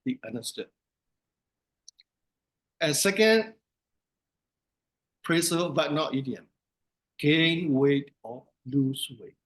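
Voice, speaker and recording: A man's voice: fairly high pitch, lively intonation, slow pace, long pauses, a bit bright, poor audio. A man from Myanmar with a Burmese accent, a monk giving a casual teaching talk and repeating phrases for emphasis. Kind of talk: casual dharma talk lesson.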